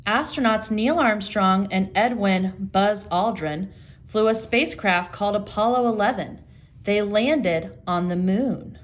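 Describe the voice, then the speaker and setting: A woman with a mid-pitched voice gives a read-aloud lesson for young children. She speaks to them directly as their teacher, reasoning out loud and steering them with simple questions. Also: measured pace. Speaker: elementary school reading teacher.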